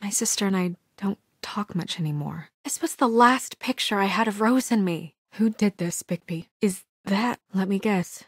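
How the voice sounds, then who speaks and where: A woman acting in a video game as a composed, weary and stern administrator who speaks in a crisp, businesslike, quietly troubled way. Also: mid-range voice, moderate pace, hardly any pauses, no echo, clean studio sound. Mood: shaken, subdued.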